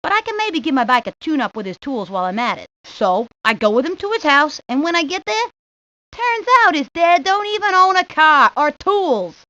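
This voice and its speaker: A woman's voice: sits high, very animated, normal speed, pushed and bright, no echo, exaggerated voice. A woman voicing a cocky, streetwise neighborhood boy, talking in brash slang for a cartoon video game. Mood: grumbling, cocky. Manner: brash, slangy wise-guy talk. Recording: dull lo-fi 1990s game audio.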